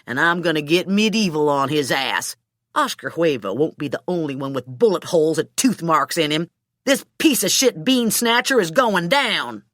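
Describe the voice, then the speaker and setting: A woman's voice: deep voice, fairly bright tone, no echo, studio quality, heavily acted. A woman voicing a hot-headed, swearing man in an audiobook, blustering about his stolen dog. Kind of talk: audiobook character dialogue.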